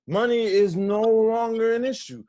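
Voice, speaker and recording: Guy playing drunk earnest buddy giving advice, slightly high voice, dry sound. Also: moderate pace, warm tone, no room sound, muffled recording, lightly acted. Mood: earnest, pushy. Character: drunk young friend, earnestly advising. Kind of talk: script read-through acting.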